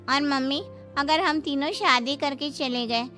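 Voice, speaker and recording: Bright, high-voiced eager daughter played by a woman. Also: fairly even intonation, a little slow, faint music behind. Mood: caring, earnest. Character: devoted, eager young adult daughter.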